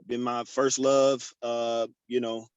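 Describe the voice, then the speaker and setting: A man's voice: mid-range voice, little pitch movement, slow pace, a little mellow, clean tone, no echo, muffled recording. An actor from Houston, Texas, easygoing and joking as he introduces himself on a video call. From Houston, Texas, USA. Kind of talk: casual video call chat.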